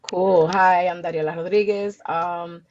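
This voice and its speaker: A woman's voice: fairly low pitch, steady tone, a little slow, darkish tone. A woman giving casual interview answers, informally and hesitantly, searching for her words. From the Bronx, New York, United States. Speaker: interview guest, community organizer. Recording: dull lo-fi audio with background noise, clicks.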